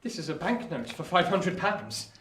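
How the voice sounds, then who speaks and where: An actor playing an earnest, polite young English gentleman in an audio drama, speaking formally and deferentially. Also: high-pitched, very animated, moderate pace, echoey room. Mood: polite, hesitant.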